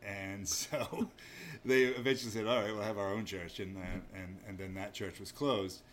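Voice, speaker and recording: A man's voice: fairly low pitch, fairly even intonation, moderate pace, a bit bright. An American man from Maine chatting casually as an interview guest, in a hesitant, modest, understated way. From Maine, United States. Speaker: interview guest, inn owner. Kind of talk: casual chat. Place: small pub off an inn lobby. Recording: faint background noise.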